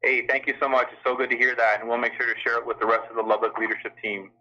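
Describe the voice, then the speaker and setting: Male call-in moderator, very bright forceful voice, courteous and formal, slightly hesitant, on a dull telephone conference line. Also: medium pitch, level tone, very fast. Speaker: call-in town hall moderator and health system official. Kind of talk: moderating a live question-and-answer call.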